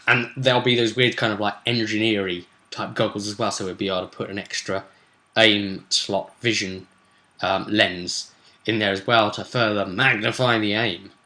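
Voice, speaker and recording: A man's voice: mid-range voice, sharp tone. A man talking casually as a game master, recapping a tabletop session in a chatty, rambling, explanatory way. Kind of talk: casual vlog recap of a role-playing session.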